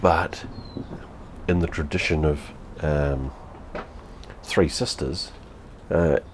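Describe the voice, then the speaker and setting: New Zealand man, fifties, very deep voice, blunt and sweary, home webcam, faint background hum. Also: takes it slowly. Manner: blunt, sweary, repeats phrases. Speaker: beer reviewer. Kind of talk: casual vlog review. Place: home room with TV behind.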